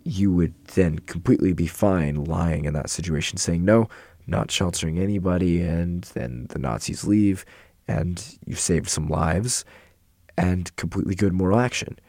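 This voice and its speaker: A young man, hoarse from illness and yelling, casually explaining moral philosophy in a rambling, self-correcting way. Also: sits low, lively intonation, moderate pace, no echo, clean studio sound.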